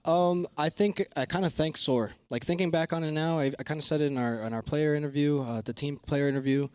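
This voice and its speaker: A man with a slightly hoarse, raspy voice, chatting informally in slang as a player giving a post-match interview and analysis. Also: a little high, brisk, dry recording. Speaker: pro esports player interviewed as guest analyst.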